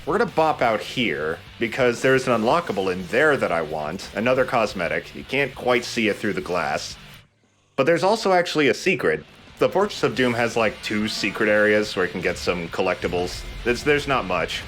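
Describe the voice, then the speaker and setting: A man's voice: fairly low pitch, sing-song, normal speed, fairly bright tone. A man hosting a let's play with casual, informal commentary, enthusiastic about small details. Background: game music.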